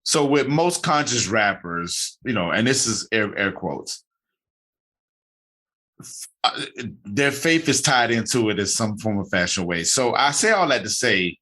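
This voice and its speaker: A man's voice: lowish voice, animated, measured pace, pushed and bright. A man hosting an interview, talking in a casual, rambling way with many fillers and restarts. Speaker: podcast host.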